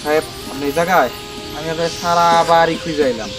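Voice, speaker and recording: Man as fast-talking, high-voiced Bangladeshi servant. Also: music in the background, close to an everyday voice, rough recording. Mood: curious. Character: deferential but meddling, cowardly household servant. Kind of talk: Bengali comedy drama acting.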